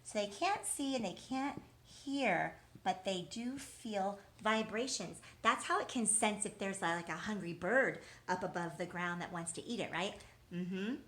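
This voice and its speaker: A woman's voice: mid-range voice, expressive, measured pace, fairly bright tone. A woman teaching young children in an encouraging way, asking questions, answering them as if replying to the children and repeating key words. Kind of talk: interactive lesson for young children.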